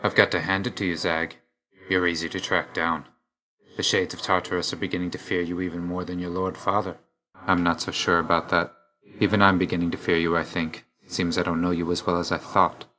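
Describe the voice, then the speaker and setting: An actor playing an aloof, weary young god of death in a video game, speaking in a restrained, clipped and solemn way. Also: male voice, low voice, little pitch movement, fairly quick, pushed and bright. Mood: reproachful, subdued.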